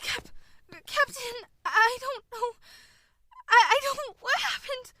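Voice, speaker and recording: A timid, flustered young subordinate played by a woman in a fantasy audio drama. The voice is extremely high and bright. It pleads and panics. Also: slow pace, slight background noise, exaggerated voice. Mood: tearful panic.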